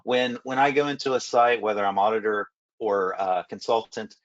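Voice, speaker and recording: A man working as a food safety consultant, a little raspy from a recent illness, answering panel questions and telling stories from his work. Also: on the low side, moderate pace, dull audio. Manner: storytelling, repeats words when restarting.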